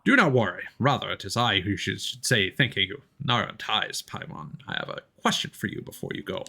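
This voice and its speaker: A man voicing a wise, gentle old forest spirit guardian from a video game, with formal, measured speech. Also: on the low side, animated, creaky, heavily acted. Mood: courteous.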